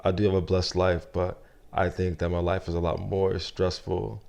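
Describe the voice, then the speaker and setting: A young man with a very deep voice that barely rises or falls speaks as an interview guest. He talks softly and thoughtfully, trailing off and restarting as he gathers his thoughts. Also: flat delivery, moderate pace, gravelly. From Nigeria. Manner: soft-spoken, reflective, many fillers and restarts. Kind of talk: casual interview conversation.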